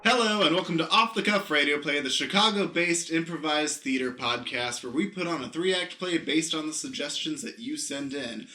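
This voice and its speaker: A man's voice: fairly high pitch, normal speed, hardly any pauses, bright, forceful tone, roomy sound. A man hosting an improv podcast, announcing in a presenter style with casual asides. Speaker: podcast host.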